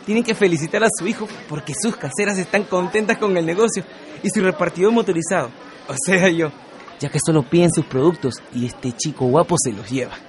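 Man acting a high-pitched, cheeky Peruvian son. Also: fairly quick, some chatter behind, lightly acted. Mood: cheeky, boastful. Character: cheeky, self-satisfied young son working as delivery rider. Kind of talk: educational radio soap acting.

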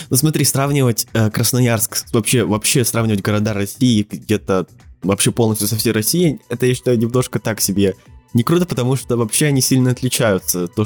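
A teenage man from Siberia talks as a podcast guest, rambling through his answers with fillers and restarts and the odd laugh. His voice sits on the low side. Faint music plays underneath. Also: darkish tone. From Siberia, Russia. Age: teens. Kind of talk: casual podcast interview.

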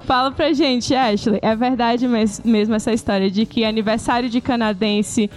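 Woman host from Salvador, Brazil, high voice, playful and chatty. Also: normal speed, soft background music, poor audio. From Salvador, Bahia, Brazil. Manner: lively, joking. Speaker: podcast host. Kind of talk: casual podcast chat.

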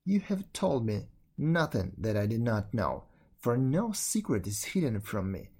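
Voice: medium pitch, very animated, measured pace, darkish tone, slightly rough, pitched below the performer's own voice, full character voice. A reader voicing a shrewd holy man in a prison who speaks solemnly in old-fashioned words.

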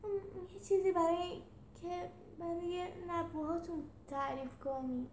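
A woman voicing a naive, earnest young male giraffe with a halting, eager manner in a storybook reading. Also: very high voice, big pitch swings, voice pushed up. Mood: hurt, hesitant. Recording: roomy echo with some background noise.

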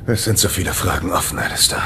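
Actor as a very deep, brooding knight. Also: male voice, fast, noisy background, lightly acted. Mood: vengeful, determined. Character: grieving, brooding male knight bent on answers. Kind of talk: dramatic game dialogue.

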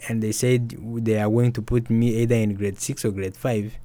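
A young man, an author and musician, telling his story softly and hesitantly in an interview. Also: lowish voice, level tone, normal speed. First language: Swahili. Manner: soft-spoken, hesitant with restarts and fillers. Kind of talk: reflective personal storytelling.

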